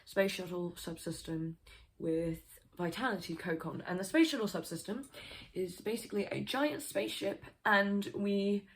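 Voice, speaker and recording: A woman's voice: medium pitch, normal speed, low-quality recording. A woman vlogger talking casually and at length, rambling a little and swearing now and then. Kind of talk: casual explanatory vlog.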